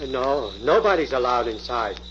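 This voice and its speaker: An actor playing a naive, timid, simple fellow with hesitant, folksy speech in a 1940s radio drama. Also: male voice, medium pitch, animated, moderate pace, fairly bright tone, lightly acted. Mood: naive, eager. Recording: dull, noisy old radio recording.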